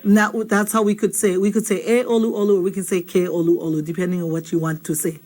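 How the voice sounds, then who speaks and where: A woman's voice: lowish voice, little pitch movement, quick pace, darkish tone. A woman teaching vocabulary in a casual video lesson. She keeps checking in with the learner, asking "okay?" after nearly every point. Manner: chatty, checks in with "okay?" constantly. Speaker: Hawaiian language teacher.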